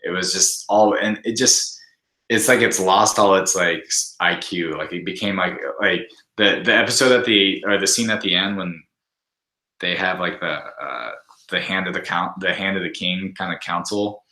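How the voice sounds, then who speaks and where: Deep-voiced young man, bright and echoey. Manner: halting, rambling, peppered with "like". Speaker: podcast guest. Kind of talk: casual chat, opinionated commentary. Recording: echoey, roomy sound.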